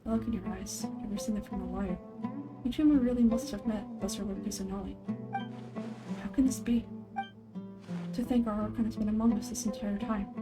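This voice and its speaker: A woman voices a stern exiled investigator, a man of measured, formal words. The delivery is deliberate game dialogue, with music playing behind. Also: medium pitch, steady tone, natural voice. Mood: grave, astonished.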